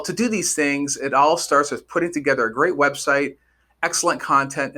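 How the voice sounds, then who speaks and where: A man's voice: medium pitch, bright, forceful tone. A man hosting a podcast, upbeat and chatty, often stumbling and repeating words as he asks questions. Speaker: podcast host.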